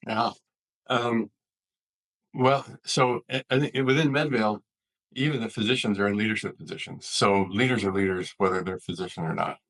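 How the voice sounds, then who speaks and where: A man with a fairly low, husky voice speaks as an expert guest. He lays out his points methodically and earnestly in an explanatory interview register. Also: steady tone, normal speed, fairly bright tone, low-quality recording. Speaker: physician, author and leadership expert interviewed as guest.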